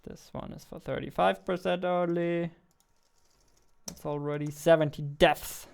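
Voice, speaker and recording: Young man, gravelly yet clear voice. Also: on the high side, steady tone, a little slow, darkish tone. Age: 20s. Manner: muttering to himself, repeating phrases. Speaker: game developer livestreamer. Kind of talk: casual livestream chat while working. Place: indoor room at a computer desk. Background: keyboard typing and mouse clicks.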